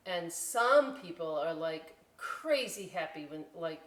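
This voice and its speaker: A woman's voice: on the low side, expressive, slow pace, reverberant. A woman hosting a story time, reading aloud in a casual, playful way with chatty asides for children. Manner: playful, informal, often checks in with "right?". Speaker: library story time host. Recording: distant mic, faint background noise.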